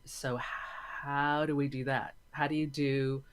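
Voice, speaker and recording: A man speaks as an interview guest in a mid-pitched voice. He thinks aloud, building his explanation in short, halting phrases with a reflective, conversational manner. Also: flat delivery, smooth. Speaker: interview guest, audio drama maker and filmmaking teacher.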